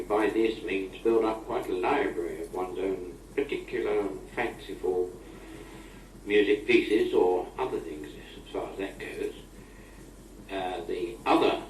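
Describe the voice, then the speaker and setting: A middle-aged or older British man speaking in a chatty, wry, old-fashioned way, as if in an informal spoken letter to a friend. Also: sits low. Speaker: amateur correspondent on a taped letter. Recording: old amateur tape, roomy, distant, noisy.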